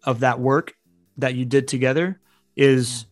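A man's voice: mid-range voice, steady tone, measured pace, no echo. Man, thirties, rambling and eager, dry close sound, faint music behind. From Venezuelan family, grew up outside Venezuela. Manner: eager, rambling storyteller with many restarts. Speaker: podcast guest. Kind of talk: casual interview chat.

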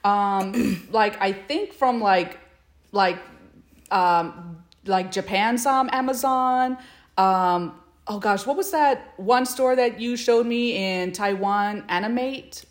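A woman's voice: mid-range voice, takes it slowly. A woman co-hosting a podcast in casual chat, with a hesitant, meandering way of talking. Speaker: podcast co-host.

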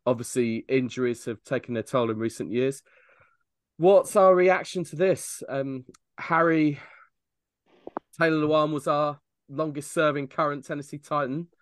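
A man hosting a casual sports podcast, chatty and quick to laugh as he steers the conversation. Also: fairly high pitch, no room sound. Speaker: podcast host.

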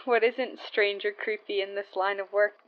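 A woman's voice: mid-range voice, fairly even intonation, moderate pace, muffled recording, lightly acted. A woman playing a seasoned, friendly field investigator with a casual, matter-of-fact manner, in a naturalistic audio drama.

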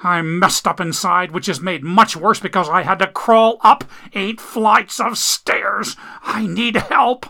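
A man voicing an injured, enraged middle-aged man who bullies his partner, shouting and snarling in pain. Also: high-pitched, fairly even intonation, slow, gravelly, few pauses, pitched above the performer's own voice, heavily acted.

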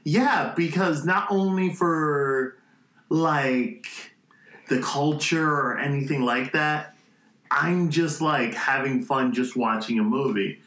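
A man's voice: fairly high pitch, slow, a bit bright. A man co-hosting a podcast, chatting in an easygoing way and walking through the plot. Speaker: podcast co-host. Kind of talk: casual chat. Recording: echoey room.

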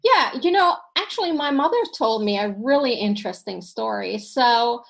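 A woman's voice: on the high side, expressive, moderate pace, vocal fry, fairly bright tone. A woman from Sweden whose first language is Finnish, a podcast guest chatting and telling stories from her life with plenty of fillers. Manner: reflective, storytelling, with fillers. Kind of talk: casual chat.

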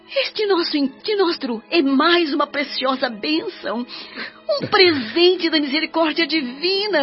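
An actress with a Brazilian accent playing a tender, pious spirit mother who speaks solemnly to her son in a melodramatic radio drama. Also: high-pitched. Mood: solemn encouragement. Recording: muffled radio drama with music behind.

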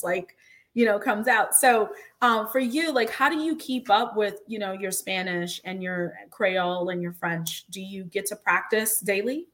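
A woman with a mid-range voice hosts a casual conversation as a working attorney. She talks in an animated, emphatic way and often repeats words to stress what she means. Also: mid-range voice. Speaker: host and attorney. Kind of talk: casual podcast-style conversation.

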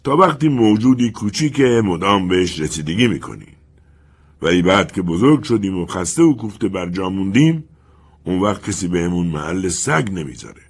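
A man's voice: mid-range voice, animated, hardly any pauses, breathy edge, voice pushed up. A man playing a bitter, greedy middle-aged colonel who speaks harshly.

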